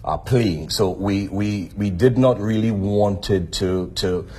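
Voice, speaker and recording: A man in his fifties with a Trinidadian accent, a soccer organization representative speaking haltingly in a live TV interview. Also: lowish voice, a little mellow, breathy edge. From Trinidad and Tobago. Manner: halting, frequent restarts and fillers.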